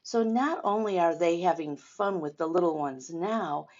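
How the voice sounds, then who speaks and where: A woman's voice: on the low side, a little slow, a little mellow. An older woman hosting a children's storytime, reading a picture book aloud and speaking expressively and directly to young listeners. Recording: dull, lo-fi sound.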